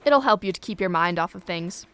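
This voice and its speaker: A woman's voice: lowish voice, lively intonation, fairly quick, fairly bright tone, no room sound. A woman playing a supportive police colleague in an audio drama, briefing in an encouraging, matter-of-fact way. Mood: brisk, encouraging.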